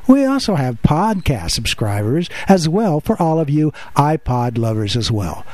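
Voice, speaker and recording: A man hosting a radio podcast, talking in chatty patter with filler pauses and joking at his own expense, sitting close to the mic. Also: medium pitch, darkish tone, dry recording. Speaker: podcast host. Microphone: close to the microphone.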